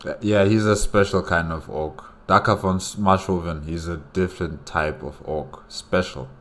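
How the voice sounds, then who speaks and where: A man's voice: deep voice, fairly even intonation, unhurried, a bit bright, soft background music. A man giving casual, off-the-cuff commentary as the host of a reaction video.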